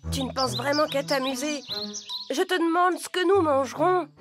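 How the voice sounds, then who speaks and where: A woman voicing a small, hardworking, sensible ant in a French children's animated fable, speaking in an earnest, matter-of-fact way. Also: sits high, moderate pace, lightly acted. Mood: serious, reproachful. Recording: loud music behind the voice. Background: music.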